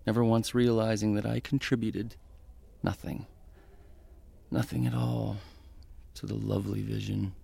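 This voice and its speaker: Audio drama role: self-pitying, indecisive guy, very creaky voice, downbeat musing. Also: on the low side, level tone, measured pace, mellow, heavily acted. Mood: resigned, bitter. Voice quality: gravelly, creaky. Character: wistful, self-pitying, indecisive man, just drifting. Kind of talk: naturalistic satirical audio drama acting.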